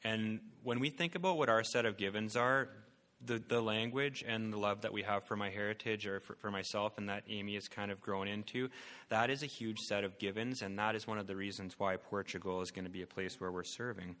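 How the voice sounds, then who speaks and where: A man presenting to a church about his family and calling, speaking haltingly with many fillers and restarts. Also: lowish voice, fairly even intonation, a bit bright, big-room sound. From Canada.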